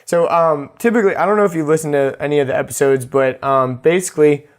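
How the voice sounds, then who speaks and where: A man's voice: a little high, quick pace. A young man hosting a podcast, speaking in an informal, friendly, rambling way. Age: young adult. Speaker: podcast host. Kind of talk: casual podcast interview.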